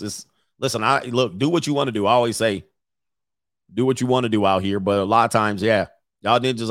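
A man's voice: on the low side, a bit bright, dry recording, clean studio sound. A man in his forties hosting mocking reaction commentary, brash and slangy, laughing often. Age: 40s. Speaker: commentary host.

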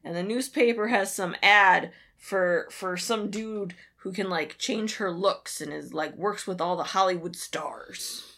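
A woman's voice: mid-range voice, a little slow, sharp tone. A woman co-hosting a podcast, giving a casual comedic recap, blunt and giggly, with a theatrical storytelling streak. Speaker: podcast co-host.

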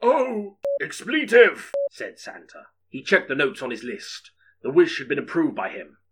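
A man's voice: medium pitch, expressive, moderate pace, pushed and bright, breathy edge. A man narrating an audiobook story in a dramatic, scripted storytelling style.

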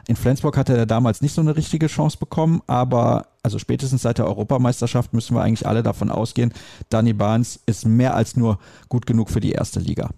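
A man's voice: lowish voice, warm tone, dry recording, studio quality. A man hosting a conversational sports discussion, stating his opinions and readily correcting himself. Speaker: sports talk host.

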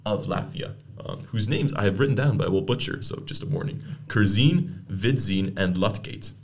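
A man's voice: fairly low pitch, moderate pace. A young man co-hosting a podcast, chatting casually and sharing fun facts with growing enthusiasm. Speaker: podcast co-host. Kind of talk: casual chat.

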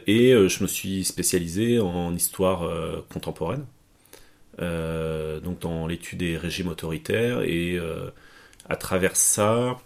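Guy with a really deep gravelly voice, keeps going euh and restarting. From Portugal. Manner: hesitant, many fillers and restarts. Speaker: guest. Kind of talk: conversational interview.

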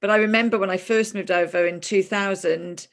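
A woman's voice: mid-range voice, fairly even intonation, a little fast. A woman hosting a podcast, talking casually with a close friend and often restarting her sentences. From moved to Ireland in 2000. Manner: chatty, warm with a friend, many fillers and restarts. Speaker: podcast host. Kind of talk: casual interview chat.

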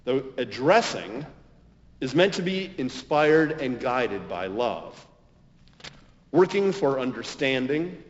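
Male American preacher, medium pitch, measured sermon delivery with emphatic pauses; dull recording in a big echoing hall. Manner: measured, deliberate phrasing with emphatic stresses and pauses. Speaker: pastor. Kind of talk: sermon, homily.

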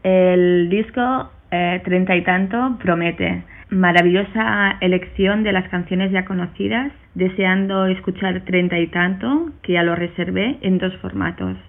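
A woman's voice: lowish voice, a little slow. A woman giving a prepared fan message. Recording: thin phone-like band.

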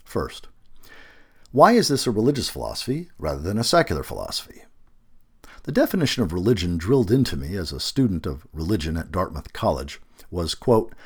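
A man with a husky voice reading a scripted article aloud, phrasing deliberately and stressing key words. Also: low voice. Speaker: narrator reading a magazine feature aloud.

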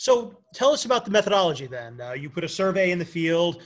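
A man interviewing a guest, asking quick questions that he rephrases and restarts as he goes. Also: high voice, sing-song.

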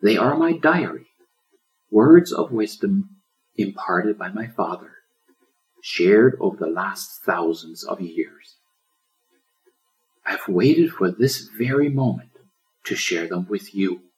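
A man voicing a wise, gentle old lion in a storybook, speaking in a loving and welcoming manner. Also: lowish voice, lively intonation, slow, long pauses, rough recording. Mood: loving, solemn.

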